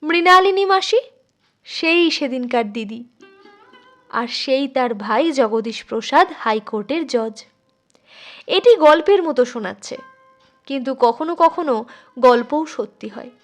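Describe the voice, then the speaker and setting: A woman's voice: high voice, lively intonation, unhurried, long pauses, soft background music. A woman narrating a literary short story expressively, as a storyteller addressing listeners. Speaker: storyteller, channel host.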